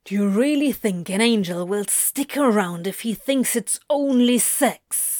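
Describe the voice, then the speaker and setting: A woman's voice: on the low side, very slow, a little mellow, dry recording, clean studio sound. A woman voicing an insolent male demon with a hissing, contemptuous manner, in an audiobook-style story. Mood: snarling, defiant. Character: insolent, sneering male demon with defiant swagger.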